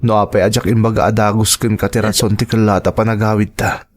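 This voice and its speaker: A man's voice: lowish voice, level tone, fast talker, slight background noise, close to an everyday voice. A man playing a protective grown son in an audio drama, talking earnestly and reassuringly to his elders. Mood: earnest, explaining.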